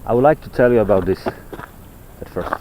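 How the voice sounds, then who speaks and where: Male walking vlogger, lowish pitch, non-native English, rambling and repetitive delivery, noisy open-air trail sound. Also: dark tone. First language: not English. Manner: halting, searching for words, repeats himself. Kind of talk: rambling walk-and-talk monologue on ancient texts. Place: outdoors on a mountain trail. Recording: noisy outdoor handheld recording with clicks.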